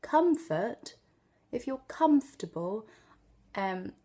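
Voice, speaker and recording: A woman's voice: fairly high pitch, big pitch swings, slow pace, warm tone, breathy edge. Chatty woman with a Geordie accent. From Newcastle upon Tyne, northeast England. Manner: chatty, rambling, demonstrates words by drawing them out. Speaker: accent tutor and video host. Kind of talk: unscripted casual tutorial.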